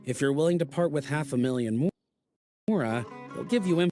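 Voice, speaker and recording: An actor playing a confident young student in a video game, explaining things in an assured, calm way. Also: male voice, mid-range voice, moderate pace, soft background music, natural voice. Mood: confiding.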